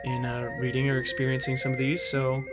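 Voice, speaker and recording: A man's voice: mid-range voice, monotone, a little fast, loud music behind the voice. A man hosting a video, giving earnest, casual, conversational commentary. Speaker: host of a play-ranking video.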